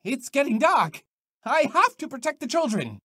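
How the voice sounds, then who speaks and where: A woman's voice: sits high, big pitch swings, moderate pace, a bit bright, no room sound, studio quality. A woman voicing an anxious, flustered ghostly ice cream man who pleads to protect children. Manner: stammering, pleading.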